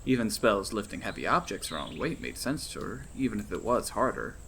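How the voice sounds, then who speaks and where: A man's voice: fairly low pitch, very animated, brisk, a bit bright, slight background noise. A man reading his own fantasy novel aloud as scripted audiobook narration.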